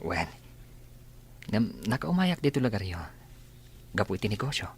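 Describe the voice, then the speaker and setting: A man playing a sympathetic, inquisitive young businessman in a radio drama, speaking in a gentle, questioning manner. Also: fairly low pitch, big pitch swings, moderate pace, husky, heavily acted. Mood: curious.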